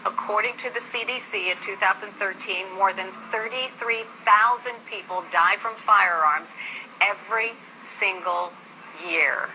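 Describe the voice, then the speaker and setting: A woman's voice: medium pitch, measured pace, pushed and bright, slight background noise, poor audio. An American woman hosting a live news interview, pressing her guest with insistent, challenging questions. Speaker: television news host.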